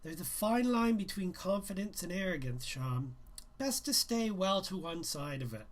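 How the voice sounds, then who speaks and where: Man as woman art teacher, raised pitch, firm, approving, instructive, storybook character. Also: very animated. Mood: admonishing. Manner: telling more than asking, measured.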